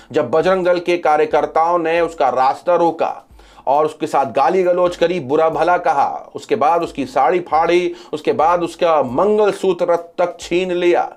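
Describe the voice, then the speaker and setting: Higher-pitched man presenting news commentary. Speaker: social media news commentator. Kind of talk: news commentary sharing updates to camera or over screen.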